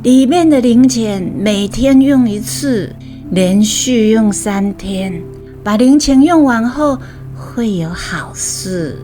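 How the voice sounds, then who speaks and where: A woman voicing an elderly Taiwanese granny, faint but clear, speaking slowly and firmly in a mysterious, kindly way for an audio story. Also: fairly high pitch, lively intonation, dark tone, soft background music. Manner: slow, drawn-out delivery with Taiwanese Hokkien phrasing.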